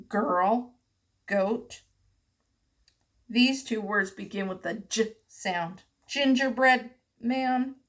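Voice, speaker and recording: Slow, high-voiced woman teaching young children. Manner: deliberate enunciation, prompting with questions. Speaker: teacher reading an alphabet picture book to young children. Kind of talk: children's read-aloud lesson.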